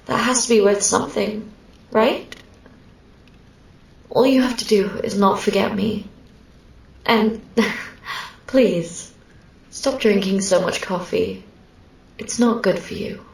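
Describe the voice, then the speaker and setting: A woman playing a lonely, clingy sleep paralysis demon in a scripted ASMR roleplay, speaking intimately and haltingly to a sleeper. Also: mid-range voice, creaky, far from the mic, a little hiss or hum. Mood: tender, clingy. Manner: hesitant, halting, wistful, intimate. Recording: roomy echo, set back from mic.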